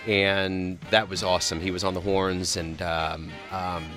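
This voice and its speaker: A man's voice: deep voice, level tone, unhurried, pushed and bright, faint music behind. A man hosting a radio show, chatting casually and enthusiastically, rambling and restarting his sentences.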